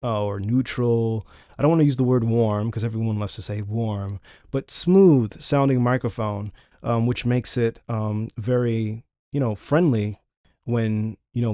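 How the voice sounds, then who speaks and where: A man's voice: lowish voice, fairly even intonation, measured pace, warm tone, dry recording. A man giving a casual, chatty product review, talking informally to viewers on a close studio condenser microphone in a home studio. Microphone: close large-diaphragm studio condenser microphone.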